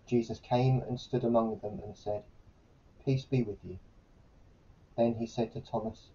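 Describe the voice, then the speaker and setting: Man reading the bible passage, deep mellow tone, kinda dull lo-fi sound. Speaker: trainee vicar reading scripture. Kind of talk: scripture reading.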